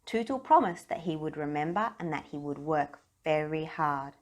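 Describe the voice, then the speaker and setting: A woman narrating a children's storybook aloud, expressively and with deliberate emphasis on key phrases. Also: low-pitched, animated.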